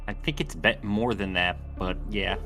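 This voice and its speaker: A man's voice: lowish voice, moderate pace, bright, forceful tone, faint music behind. A man speaking casually as a player in a tabletop roleplaying game.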